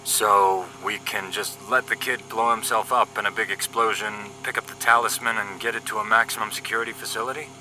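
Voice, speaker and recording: A man's voice: fairly low pitch, fairly even intonation, fairly quick, hardly any pauses, sharp tone, a little hiss or hum, rough recording. A man acting as a wisecracking young paramilitary agent in a scripted audio drama, cocky and flippant in his banter. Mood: grumbling.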